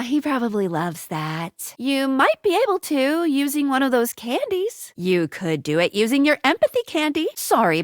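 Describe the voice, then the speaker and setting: A woman's voice: high-pitched, dry recording, clean studio sound. A woman playing a perky, upbeat young female guide in a dating video game, with a playful, quirky and earnest manner. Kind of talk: video game character voice acting.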